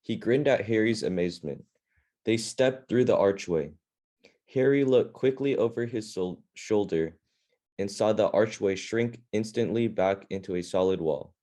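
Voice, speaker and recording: A man's voice: lowish voice, moderate pace, dark tone. A man leading a reading club, reading a children's fantasy novel aloud in a plain, informal way.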